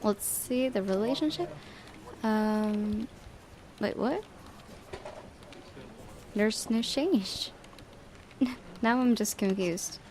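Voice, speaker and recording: A woman reading a visual novel game aloud in an expressive, giggly way, with casual reactions. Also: mid-range voice, extremely slow, long pauses. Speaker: let's-play host.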